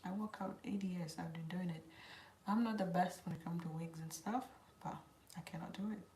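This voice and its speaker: A woman's voice: lowish voice, steady tone, moderate pace, warm tone. A woman with an East African accent chatting informally on a livestream as she answers viewers' questions. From South Sudan, grew up in Kenya. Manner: informal, switches between English and Swahili. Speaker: host of a hair channel. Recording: roomy echo, faint background noise.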